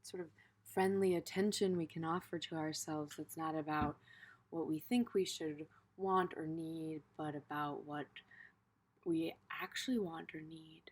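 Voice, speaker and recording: A woman teaching a gentle guided meditation in an informal, tentative way, pausing in the middle of her phrases. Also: deep voice, steady tone, breathy edge.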